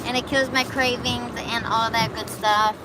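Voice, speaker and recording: A woman's voice: on the high side, little pitch movement, measured pace. Chatty woman streaming amid traffic. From Buffalo, New York. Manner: chatty, rambling, reacts to chat comments. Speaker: livestream host. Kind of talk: casual live chat answering viewers.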